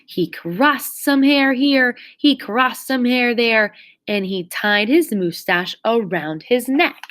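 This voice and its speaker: A woman reading a children's story aloud expressively, with theatrical, drawn-out emphasis on key words. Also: fairly high pitch, fairly bright tone. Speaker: storybook reader.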